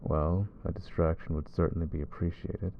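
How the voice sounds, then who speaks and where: A man voicing a brooding male vampire who hunts his own kind, speaking low and measured in an ASMR roleplay. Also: flat delivery, gravelly, lo-fi sound, a little hiss or hum. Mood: resolute.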